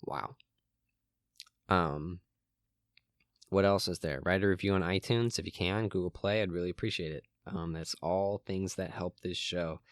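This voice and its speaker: A young man talks through his solo podcast from his room in a very low, slightly hoarse voice that cracks now and then. He rambles casually, stopping for ums and restarting sentences. The sound is dry and close, with no room echo. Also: measured pace, pauses often. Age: young adult. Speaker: podcast host.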